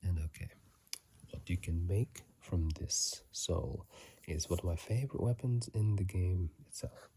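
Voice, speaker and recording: Low, breathy whispering man, slow and hushed. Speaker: ASMR video host. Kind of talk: whispered ASMR game commentary, casual. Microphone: close phone microphone.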